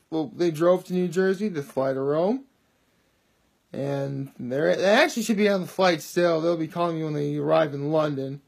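A young man with a loud voice, chatting in an upbeat, rambling way for his daily video diary, filmed on a phone at arm's length in his living room. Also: sits high, vocal fry. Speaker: vlogger. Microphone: phone camera held at arm's length.

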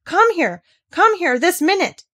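Haughty sickly boy, commanding and quick to rage, read by a woman. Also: high-pitched, sing-song, pitched above the performer's own voice, full character voice. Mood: furious. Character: imperious sickly boy, proud and furious.

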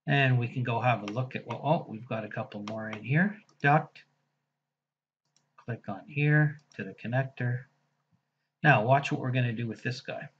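A man teaching software in a casual screen-recorded tutorial, thinking aloud as he clicks through each step. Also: mid-range voice, normal speed, muffled recording, slight background noise. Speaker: software instructor.

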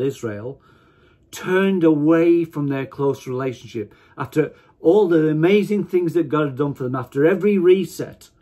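A man in his fifties giving an informal sermon to camera, speaking emphatically in deliberate, broken phrases. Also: a little high, darkish tone, breathy edge. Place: small attic room at home, indoors.

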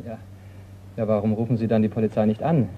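A man's voice: fairly low pitch, level tone, fast, close to an everyday voice. Guy playing a cool young detective in a radio play, dry delivery, tinny phone-like sound, some noise. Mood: cool, firm. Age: young adult. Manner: dry, unflustered. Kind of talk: German radio crime drama acting. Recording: tinny, narrow-band old radio recording, noisy.

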